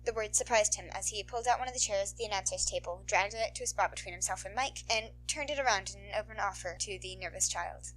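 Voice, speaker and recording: A woman reading a story aloud as a narrator, in an expressive audiobook style. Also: medium pitch, fast talker, few pauses, slight background noise, rough recording. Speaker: podfic narrator.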